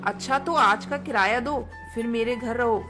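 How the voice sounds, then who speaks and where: A haughty, greedy bird wife voiced by a woman from an animated fable. Her voice is high, her manner curt and scolding, and music is clearly heard behind her. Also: moderate pace, close to an everyday voice. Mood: harsh, dismissive.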